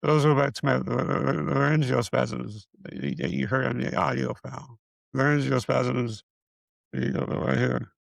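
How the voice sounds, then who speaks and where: A middle-aged man speaks in a raspy, deeply gravelly voice, close and dry with no room sound. His speech halts, with stutters and repeated syllables as he runs short of breath. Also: steady tone, normal speed, no echo. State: short of breath, struggling to get words out. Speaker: video creator sharing his illness progress. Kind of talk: casual personal health update.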